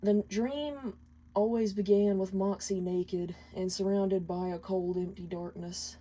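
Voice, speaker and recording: Slow, mellow woman reading a story. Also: mid-range voice, fairly even intonation. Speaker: fan fiction reader. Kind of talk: scripted story narration.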